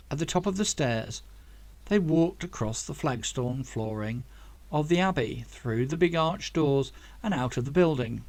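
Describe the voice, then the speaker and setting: A man's voice: medium pitch, a little mellow, no room sound. A man reading a story aloud as scripted audiobook narration, as the author of the book.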